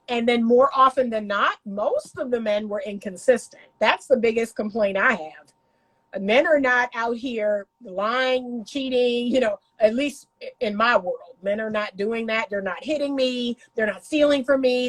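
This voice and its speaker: A woman's voice: on the high side, a little slow, poor audio. Congested woman coaching on relationships. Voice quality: congested from a cold. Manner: emphatic, repeats points for emphasis. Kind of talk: casual vlog commentary.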